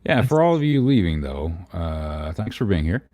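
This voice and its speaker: Male co-host, low-pitched, casual livestream talk, blunt and profane. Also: sing-song, normal speed, a little mellow.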